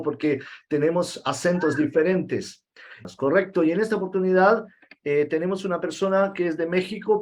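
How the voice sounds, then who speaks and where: A man with as his hosts a video-call meeting with a light, raised voice. He talks loosely and informally, restarting phrases and drawing out his fillers. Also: fairly high pitch. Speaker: host and Spanish teacher.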